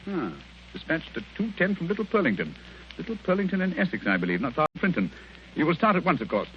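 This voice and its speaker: Shrewd, commanding sleuth, British, crisp and very animated, old radio drama with slight hiss. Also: male voice, on the high side, quick pace. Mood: brisk, insistent. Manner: crisp, theatrical, authoritative. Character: brisk, commanding, shrewd gentleman detective. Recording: muffled, hissy vintage radio recording.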